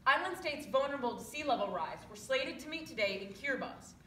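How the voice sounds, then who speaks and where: A woman's voice: high voice, animated, fast, a bit bright. A woman playing an earnest, insistent TV news reporter in a stage readers theatre piece. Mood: brisk, serious. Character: earnest, insistent female broadcast news reporter pressing officials. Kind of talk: readers theatre, projected live news delivery. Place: live stage performance in a roomy space. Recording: echoey room, distant mic, background hiss.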